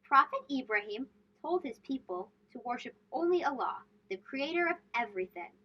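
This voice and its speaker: High, very lively woman reading to children. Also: normal speed, highs cut off. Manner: addresses young listeners with questions, emphatic storytelling delivery. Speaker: host of a children's read-aloud show. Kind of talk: children's storybook read-aloud.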